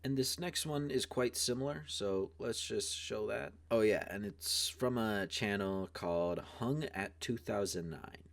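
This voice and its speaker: Deep male voice, casual video host, informal, rambling, hedging commentary. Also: unhurried, gravelly, hardly any pauses.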